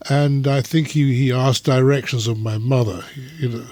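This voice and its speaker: A man's voice: medium pitch, steady tone, moderate pace, darkish tone, no room sound. A man, a podcast guest who chats in a rambling way, full of restarts and "you know"s. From England. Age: 70s. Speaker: podcast guest, former conference interpreter. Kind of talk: casual interview chat.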